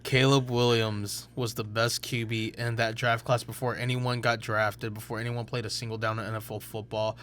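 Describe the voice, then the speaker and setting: A man's voice: mid-range voice, little pitch movement, moderate pace, hardly any pauses, a bit bright. A young man co-hosting a sports podcast, arguing his picks in assertive bursts. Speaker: podcast co-host. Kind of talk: casual sports debate.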